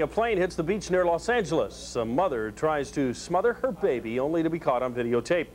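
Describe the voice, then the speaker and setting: A man's voice: a little high, darkish tone, a little hiss or hum. An American man anchoring a scripted local television newscast. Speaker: news anchor.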